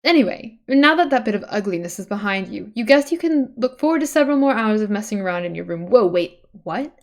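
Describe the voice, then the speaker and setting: A woman's voice: medium pitch, animated, a little fast. A woman streamer reading a webcomic aloud, mixing dramatic narration with casual, chatty asides.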